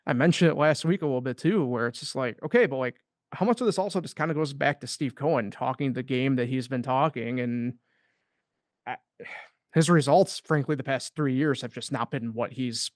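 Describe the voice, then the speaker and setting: Casual sports talk dude, mid-pitched and rambly, lots of "like", dry close mic. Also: male voice, moderate pace, dry recording. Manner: loose and rambling, heavy on fillers. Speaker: co-host of a sports podcast.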